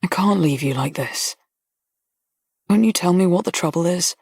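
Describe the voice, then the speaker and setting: A woman voicing a grave army colonel who speaks quietly and with restraint. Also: fairly low pitch, moderate pace, husky, dry recording, clean studio sound. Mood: gentle.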